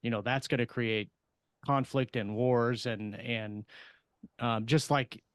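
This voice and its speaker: A man co-hosting a podcast in casual discussion, with a halting, hesitant delivery. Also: mid-range voice, fairly even intonation, clean tone, dry recording. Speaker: podcast co-host.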